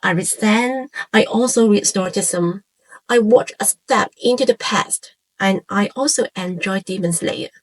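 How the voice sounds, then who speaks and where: A woman in her forties from Hong Kong, a native Cantonese speaker with a Hong Kong accent, narrates a scripted, reflective piece in measured phrases with short pauses. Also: medium pitch, low-quality recording. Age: 40s. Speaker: YouTube channel creator and host.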